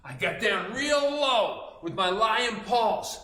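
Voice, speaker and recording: A man telling interactive stories to young children, animated and instructive, with emphatic pauses. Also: sits high, echoey room. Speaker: children's storyteller.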